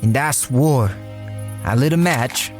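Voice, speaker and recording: Audio drama crook-narrator, played by a man: tough, slangy, impatient, mid-pitched storyteller over a music bed. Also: slow pace, lightly acted. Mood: grim, suspenseful. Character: tough, impatient male crook recounting a sinister tale.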